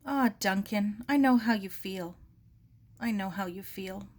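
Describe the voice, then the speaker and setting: A woman voicing a firm, compassionate middle-aged aunt in an earnest, measured way. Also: medium pitch. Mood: tender, consoling.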